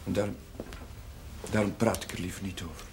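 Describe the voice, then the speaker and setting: An actor playing a troubled, idealistic young village priest in a television period drama, speaking Dutch in an earnest, brooding way. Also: male voice, low voice, steady tone, a little fast, natural voice. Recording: noisy old television soundtrack.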